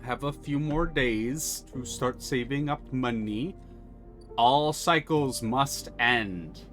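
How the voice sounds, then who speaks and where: Brief: man streamer, slow unhurried delivery, mutters plans aloud, curses when excited, soft music underneath. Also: mid-range voice. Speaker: streamer playing a video game. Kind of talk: thinking aloud while playing.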